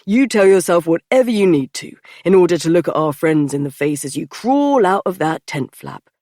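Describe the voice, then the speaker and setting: A woman voicing a teasing, confident young man in a fantasy audiobook, pitching her voice lower. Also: normal speed, mellow, no room sound, studio quality.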